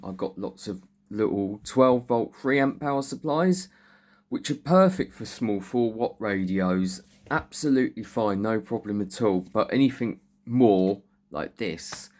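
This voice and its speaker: A man chats informally, thinking aloud as he shows and explains radio gear like a hobbyist presenting a video. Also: medium pitch. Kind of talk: casual chat, showing and explaining radio gear. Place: indoors at home beside radio equipment. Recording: very noisy room, loud radio static. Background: loud CB radio noise.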